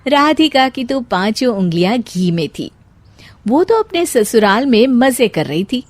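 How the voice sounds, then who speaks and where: A woman's voice: fairly high pitch, very animated, measured pace, no room sound. A woman narrating a scripted story as its storyteller.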